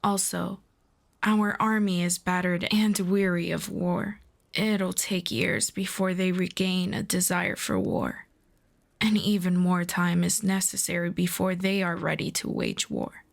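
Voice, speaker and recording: A woman playing a proud, earnest demon princess in a fantasy audio roleplay, speaking in a formal, deliberate, persuasive way. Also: lowish voice, animated, creaky. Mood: measured, reasoning.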